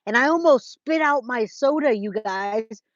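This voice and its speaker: A woman's voice: on the high side, animated, measured pace, no room sound. American lady in her 40s doing a casual livestream, chatty n emphatic, kinda muffled lo-fi sound but pretty dry. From California, United States. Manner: informal, addresses viewers as guys, babe, my love. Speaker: true crime livestream host. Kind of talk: casual livestream chat, replying to viewers' comments.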